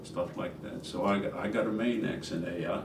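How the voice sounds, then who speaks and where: Deep-voiced older New England man. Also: steady tone, a little fast, creaky. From Massachusetts. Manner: rambling, many fillers. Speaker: interviewee. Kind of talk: casual conversational interview. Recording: roomy, distant mic with background noise.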